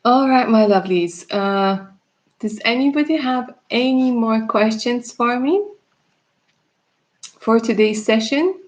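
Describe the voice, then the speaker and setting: A woman's voice: on the high side, slow, long pauses. A Russian-born woman, a native Russian speaker, who works as a tarot reader and chats with viewers on a live stream. She laughs often and calls them darling.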